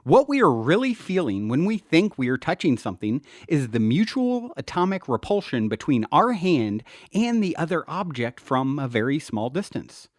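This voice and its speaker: A man's voice: fairly high pitch, very animated, moderate pace, few pauses, dry recording, clean studio sound. A man reading his own book aloud as scripted narration, in an earnest, emphatic manner.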